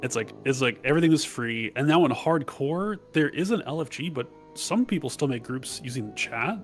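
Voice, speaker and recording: A man's voice: mid-range voice, expressive, normal speed, faint music behind. A man chatting casually about games with others, emphatic and prone to repeating his phrases. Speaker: voice chat participant. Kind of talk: casual gaming chat.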